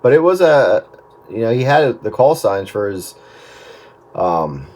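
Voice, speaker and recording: A man chats casually over two-way radio as an amateur operator, explaining things in a halting way. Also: mid-range voice, sing-song, darkish tone. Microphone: desk radio microphone.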